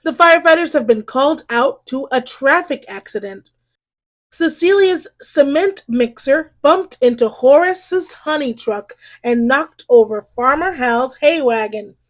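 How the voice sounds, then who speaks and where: A woman reading a children's story aloud in an expressive way, drawing out key words for emphasis. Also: high-pitched. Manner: animated, drawn-out emphasis on exclamations and key phrases.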